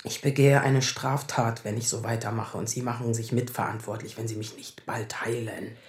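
A woman playing a sharp-tongued, anxious lawyer in her thirties in a naturalistic radio drama, sarcastic and defensive. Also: extremely low pitch, fairly even intonation, normal speed, echoey room. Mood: anxious, combative. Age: 30s.

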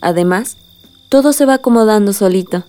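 A woman's voice: mid-range voice, brisk, mellow, lightly acted. A young Mexican woman acting a trusting, lovestruck daughter in a radio soap opera. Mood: dreamy, happy. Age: young adult.

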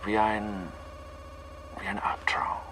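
An actor playing a troubled, analytical astronaut scientist who speaks in a halting, pensive way in a radio play. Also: male voice, on the low side, level tone, noisy background. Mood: uneasy, reasoning.

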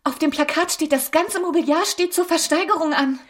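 Woman acting a housemaid in a radio play, high voice, reporting to madame. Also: a little fast, natural voice. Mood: alarmed. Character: maidservant reporting bad news to her mistress.

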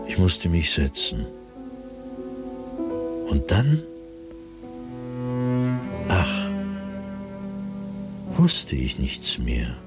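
A man playing an anguished, fearful, solitary diarist in a radio drama, speaking in a husky, brooding voice with sudden swells and hushed drops. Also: on the low side. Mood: agitated, fearful. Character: anguished, fearful, solitary male diarist haunted by nightly dread. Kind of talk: German radio drama monologue, diary read dramatically.

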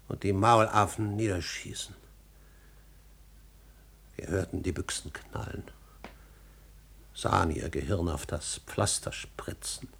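A slow, husky old servant in a classical radio play. His deep voice is weary and restrained, full of grief and bitter irony. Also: a bit bright, full character voice. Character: old grieving manservant of a duke, bitterly ironic. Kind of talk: classical German stage drama acted for radio.

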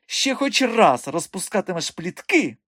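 A man voicing an excitable, imperious female circus director who scolds in an audiobook story. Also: high-pitched, brisk, dry recording, clean studio sound, pitched above the performer's own voice. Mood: furious, scolding.

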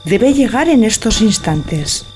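A woman's voice: medium pitch, big pitch swings, slow, a little mellow, slight background noise. A woman playing a passionate young wife in a theatrical Spanish radio tragicomedy, speaking in lyrical, poetic declamation. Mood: ardent, dreamy.

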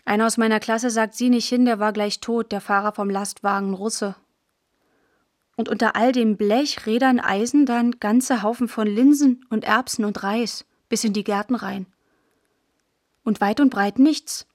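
Woman as matter-of-fact schoolgirl narrator, fairly high voice, dry studio sound, clipped phrases, vivid storytelling. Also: fairly even intonation, unhurried, darkish tone, no room sound, lightly acted. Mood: brisk, observant. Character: matter-of-fact postwar schoolgirl telling her scavenging adventures. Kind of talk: first-person scripted radio drama narration.

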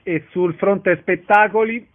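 Guy hosting radio interviews, slightly high voice, thin phone-like sound. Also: steady tone, takes it slowly. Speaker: radio host.

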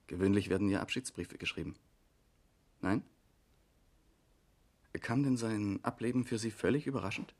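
Male investigator, radio crime play: lowish voice, polite, matter-of-fact, quietly probing. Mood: businesslike. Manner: formal, measured. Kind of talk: 1970s radio crime drama acting.

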